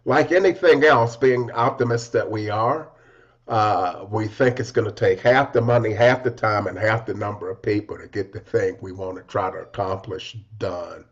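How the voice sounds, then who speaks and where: Through slightly muffled, lo-fi audio, a man with a fairly low voice co-hosts an interview. He talks conversationally, as in an informal discussion. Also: moderate pace. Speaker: interview co-host. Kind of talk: conversational talk. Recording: dull lo-fi audio.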